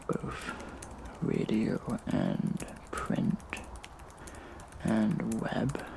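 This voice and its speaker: A hushed, heavily creaking hacktivist vigilante, acted by a man for an audio drama. He drawls slowly and breathily with smug, mock-casual menace, swinging his pitch around. Keyboard typing and noise sit behind him. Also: heavily acted. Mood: scheming. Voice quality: breathy, whispery, gravelly creak. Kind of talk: audio drama monologue, addressed to viewers.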